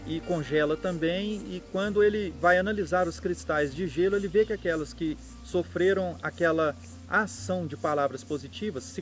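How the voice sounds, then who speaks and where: Brazilian man explaining slowly over faint music. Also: on the high side. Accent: Brazilian Portuguese. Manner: unhurried, thinking aloud with restarts. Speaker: occult channel host. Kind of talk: explanatory monologue.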